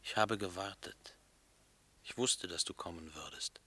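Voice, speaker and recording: An actor playing a brooding, dreamy lover in a German radio play, intimate and lyrical, often close to a whisper. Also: male voice, low-pitched, measured pace, sharp tone, husky, full character voice. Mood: tender, quiet. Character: brooding, dreamy male lover speaking in dark poetic images. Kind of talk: German radio play acting, poetic and hushed.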